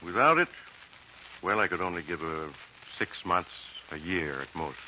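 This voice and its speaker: An actor playing a grave, tactful doctor in a 1940s radio drama, measured and professional, on a dull, noisy recording. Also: male voice, low-pitched, expressive, bright, forceful tone, heavily acted.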